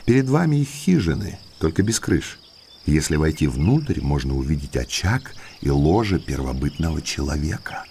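Affable, educated naturalist for audio drama: very deep voice, genteel, chatty, swooping delivery over chirping outdoor ambience. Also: male voice, sing-song, moderate pace, mellow, a little hiss or hum, exaggerated voice. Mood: enthusiastic, instructive. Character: Stapleton, eager educated naturalist, outwardly affable brother.

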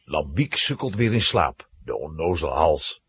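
A man giving dramatic, expressive scripted narration of a children's story. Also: a bass voice, normal speed, slightly rough.

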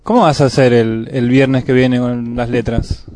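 A man with an Argentine Spanish accent hosting a casual radio interview, asking questions in a relaxed, halting way with repeated words. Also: medium pitch, noisy background. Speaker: radio host. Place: radio studio.

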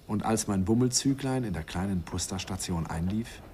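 An actor narrating a radio play as a grown man fondly recalling his youth, softly and wistfully, sometimes whispering. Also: on the low side, expressive, normal speed, slight background noise, natural voice.

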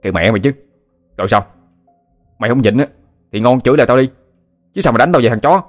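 A man voicing a hot-tempered, foul-mouthed young fisherman, shouting and cursing. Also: fairly low pitch, fairly even intonation, rapid-fire, soft background music, lightly acted. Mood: furious.

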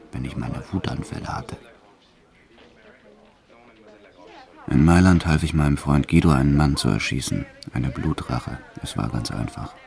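A hardened, violent gangster tells his own story in a radio drama. His voice is extremely deep. He recounts brutal deeds in a measured, matter-of-fact way, with tenderness underneath. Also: gravelly, darkish tone. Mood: cold, proud. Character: violent male career criminal confessing his life, brutal yet tender. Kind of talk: first-person radio play narration.

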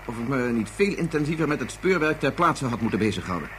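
A man's voice: medium pitch, very animated, fast, lightly acted. A man acting a troubled, earnest scientist father full of doubt in a Dutch science fiction radio drama. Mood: troubled, reflective. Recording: slightly noisy radio play recording.

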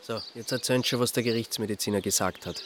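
An Austrian actor playing an evasive, exasperated amateur sleuth in a naturalistic radio drama. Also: male voice, lowish voice, fast, fairly bright tone, slight background noise, lightly acted. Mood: dry, impatient.

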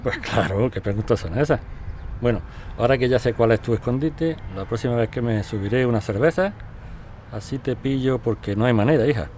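A man's voice: medium pitch, brisk, a little mellow, close to an everyday voice. A man with a Spanish accent acting a flirtatious, insistent residents' association president in an audio drama, casual and teasing. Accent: Spain. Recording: clear ambient noise behind voice.

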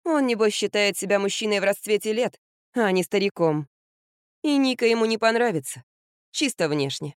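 A woman voicing a stubborn young rocker woman who speaks curtly in a lowered, muffled tone. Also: moderate pace, smooth, dry recording, clean studio sound, natural voice. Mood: gloomy. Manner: flat, curt, lowered voice.

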